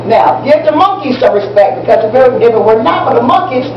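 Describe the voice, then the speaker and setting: A woman's voice: on the high side, expressive, brisk, lightly acted. Woman acting enthusiastic American schoolteacher, echoey and distant. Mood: instructive. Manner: didactic, repeats and spells out key words. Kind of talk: amateur stage play acting. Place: indoor room at a public library. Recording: distant, echoey, muffled, noisy room recording.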